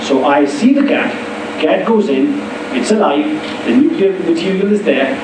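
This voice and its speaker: A man's voice: on the high side, expressive, moderate pace. A man with a foreign accent giving a public university lecture, explaining with wry asides. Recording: echoey hall from far off with hum.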